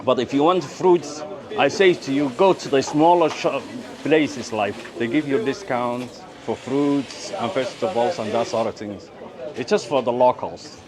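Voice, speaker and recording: Male travel vlogger from Birmingham, UK. Casual, halting delivery with repeats, recorded amid clear crowd bustle. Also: medium pitch. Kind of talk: casual vlog chat and narration. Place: out and about in a city. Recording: busy street and cafe chatter behind.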